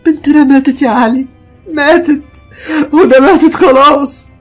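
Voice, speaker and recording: An actress with an Egyptian accent playing a panicked young wife and mother who talks in a high, shrill, frantic way. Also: fairly even intonation, normal speed, faint music behind, close to an everyday voice.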